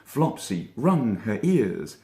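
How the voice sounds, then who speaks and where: A man reading a children's story as an actor would, with theatrical, expressive and deliberately dramatic phrasing. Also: on the low side, vocal fry, reverberant.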